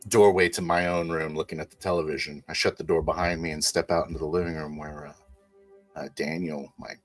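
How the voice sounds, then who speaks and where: A man playing a tabletop roleplay, narrating his character's actions in the first person with hesitant, pausing phrasing. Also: low voice. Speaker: roleplay player.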